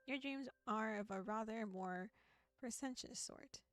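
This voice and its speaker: A woman voicing an arch, formal female narrator, reading visual novel text in a deliberate, dramatic way. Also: a little high, soft background music, lightly acted. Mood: arch, portentous. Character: arch, ornate female narrator of a dark dream tale.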